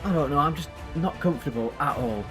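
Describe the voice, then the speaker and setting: A man's voice: fairly high pitch, quick pace, music in the background, lightly acted. A man playing a quirky, compassionate, talkative time-travelling hero in an audio drama, earnest and wry. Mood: fussy, stalling.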